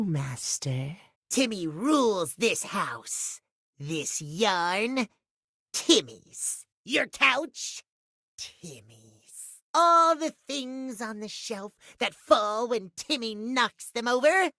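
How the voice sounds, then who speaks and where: A man's voice: high-pitched, pushed and bright, dry recording, studio quality, full character voice. A male actor voicing a boastful, feral male house cat in a video game, clipped and menacing. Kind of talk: video game character acting.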